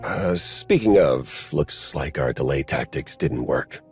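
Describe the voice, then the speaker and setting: An actor playing a wry, irreverent aide to a Sith lord in a video game, speaking in a dry, sardonic way. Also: male voice, very deep voice, expressive, takes it slowly, faint music behind, exaggerated voice. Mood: matter-of-fact. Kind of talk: video game cutscene acting.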